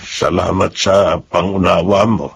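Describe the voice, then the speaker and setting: Gentle older filipino tatay, gravelly slow voice, apologetic, drama acting. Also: male voice, low voice, steady tone, exaggerated voice. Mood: apologetic, tender.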